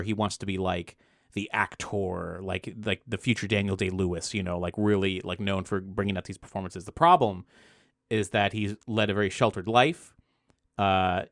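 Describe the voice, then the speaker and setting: A man's voice: on the low side, dry recording, clean studio sound. A man from Florida with an American accent, explaining things in casual conversation and often restarting his phrases as he goes. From Florida, United States. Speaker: actual play podcast game master or player and co-host.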